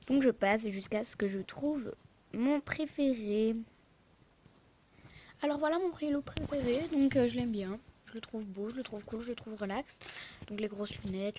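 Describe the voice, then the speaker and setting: A young woman talks through a game lookbook in an informal, rambling way, often breaking off mid-thought. Her voice sits at a medium pitch. The sound is poor, with clear noise and clicks. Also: a little mellow. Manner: rambling, informal, self-interrupting. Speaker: video host. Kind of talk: casual game lookbook commentary.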